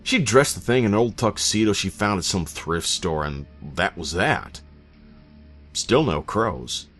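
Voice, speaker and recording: A grumpy old widowed farmer, voiced by a male narrator, narrates a horror story in a low voice. He is folksy and self-deprecating, with a tired, dry way of grumbling. Music plays behind him. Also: animated, a little slow, a bit bright. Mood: dry, weary. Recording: voice over background music.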